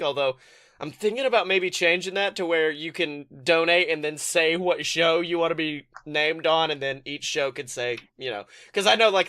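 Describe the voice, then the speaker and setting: A man hosts a podcast in a casual, jokey way. His voice is bright and forceful, and he talks informally, as if among friends. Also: fairly high pitch, clear, resonant tone. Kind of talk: casual chat.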